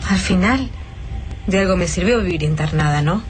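A woman's voice: lowish voice, moderate pace, natural voice. An actress with an Argentine accent playing a frightened, bitter young woman freed from captivity in a radio drama. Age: young adult. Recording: music bed under the voice.

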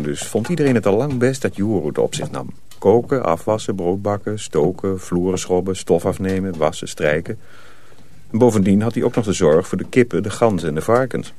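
An actor narrating a tale in a radio play, in a measured, scripted storybook manner. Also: male voice, deep voice, expressive, darkish tone. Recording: faint noise on old radio recording.

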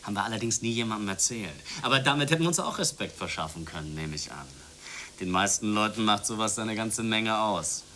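An actor playing a sardonic, boastful drifter with a wry, domineering manner in a radio play. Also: male voice, on the low side, expressive, a little fast, sharp tone. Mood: boastful, deadpan. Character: cocky, sardonic drifter and dealer, domineering toward women. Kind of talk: German radio play acting. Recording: noisy 1980s radio play recording.

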